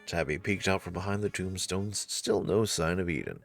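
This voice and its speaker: Very low-voiced woman narrating a story. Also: normal speed, gravelly, soft background music. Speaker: visual novel narrator.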